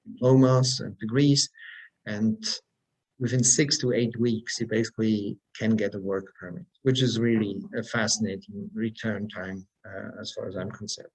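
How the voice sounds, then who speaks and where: A man's voice: fairly low pitch, steady tone, normal speed, breathy edge, rough recording. Man giving an informational webinar presentation. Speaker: embassy representative presenting a webinar.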